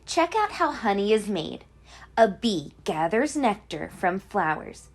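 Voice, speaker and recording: A woman who teaches kindergarten, reading a children's picture book aloud in an expressive, explanatory way. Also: medium pitch, measured pace, faint music behind. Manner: clear explaining to young children, animated emphasis.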